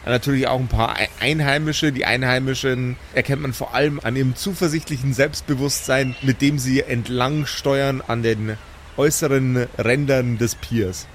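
A man narrating a live improvised tabletop game as game master, setting scenes in an unhurried way with small asides. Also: mid-range voice, fairly even intonation, slight background noise.

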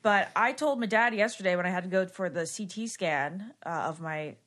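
A woman's voice: mid-range voice, normal speed, fairly bright tone. A young adult woman hosting a casual podcast chat, with a nasal, congested voice and an informal, inquisitive way of talking. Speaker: podcast host.

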